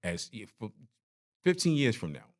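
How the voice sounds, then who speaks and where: A man's voice: on the low side, big pitch swings, normal speed. A man in his forties hosting a podcast interview, talking in laid-back, slangy banter. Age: 40s. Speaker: podcast host. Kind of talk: casual interview chat.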